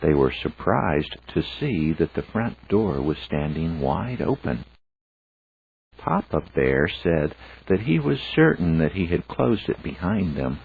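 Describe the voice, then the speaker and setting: A man's voice: sits low, sing-song, measured pace, vocal fry, breathy edge. A man reading a fairy tale aloud as a scripted storyteller narration. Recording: phone-like, narrow band sound.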